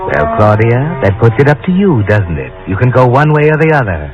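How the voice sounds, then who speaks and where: A man's voice: mid-range voice, big pitch swings, a little fast, natural voice. Through a dull vintage radio recording with music behind comes a man acting a sardonic, all-seeing suspense narrator. He speaks straight to "you" in an insinuating, goading way, like a conscience turned accomplice in a 1940s thriller. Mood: insinuating, taunting. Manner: taunting, insinuating, addressing the listener as "you". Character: sardonic unseen narrator goading a guilty young wife.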